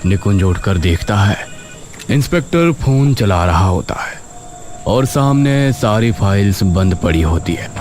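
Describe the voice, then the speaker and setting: A man narrating a horror cartoon story in a dramatic, expressive way. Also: lowish voice, measured pace, noisy background. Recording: narration over loud music. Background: music.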